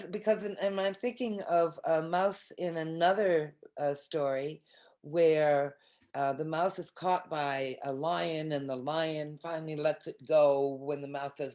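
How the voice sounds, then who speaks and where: A woman's voice: low-pitched, darkish tone. A woman taking part in a casual, reflective group discussion, thinking aloud as she talks. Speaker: discussion participant.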